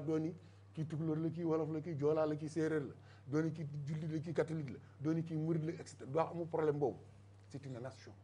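Older senegalese man, husky voice, fairly high, emphatic, studio debate guest, lapel mic. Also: dark tone. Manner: emphatic, mixing Wolof and French. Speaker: political guest. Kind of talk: televised political debate. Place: TV studio set.

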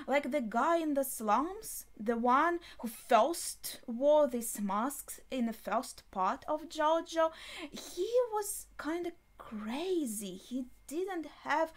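A young adult woman giving casual, unscripted reaction commentary, thinking aloud with hesitant pauses mid-thought. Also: high-pitched. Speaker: reaction video host. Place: small furnished bedroom, indoors.

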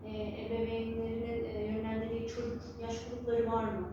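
A fairly high female voice, heard from a distance in a strongly reverberant, noisy room. She speaks as an interviewer, conversational but halting as she searches for words. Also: completely flat delivery, lo-fi sound. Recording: very echoey room, distant mic, clear noise.

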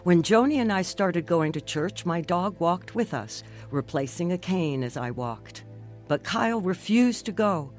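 A woman's voice: lowish voice, lively intonation, measured pace, darkish tone, faint music behind. An actress playing an earnest, devout woman who tells her own emotional life story in a radio drama. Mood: earnest, reflective. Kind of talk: first-person narration in a radio drama.

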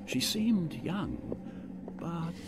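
An actor narrating a fantasy tale as storyteller in a scripted radio drama. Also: male voice, fairly high pitch, animated, extremely slow, heavily acted. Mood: storytelling. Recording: music under the voice. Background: music.

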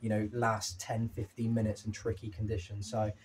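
A young man talking after a football match as the team's manager, a little out of breath, animated and candid, with many fillers and restarts. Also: fairly low pitch, moderate pace. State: slightly out of breath. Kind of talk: post-match interview. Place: small clubhouse room with painted wooden walls.

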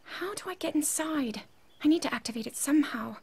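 A woman's voice: sits high, lively intonation, a little fast, gravelly, a little mellow. A woman playing a proud, earnest young princess in a game cutscene, speaking formally and precisely. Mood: pensive, frustrated. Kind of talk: video game cutscene acting.

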